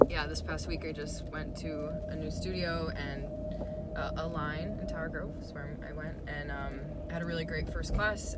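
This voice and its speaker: A vlogger talking in a slow, rambling, reflective way with many pauses and ums, a bit stuffed up and congested. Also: medium pitch. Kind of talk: reflective personal vlog. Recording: loud traffic noise behind. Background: loud vehicle noise.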